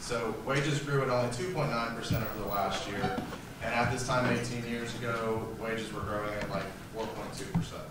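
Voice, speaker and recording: A soft-spoken man moderating a debate, explaining the rules and reading questions with frequent fillers. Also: medium pitch, steady tone, normal speed. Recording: echoey hall, from far off, noisy.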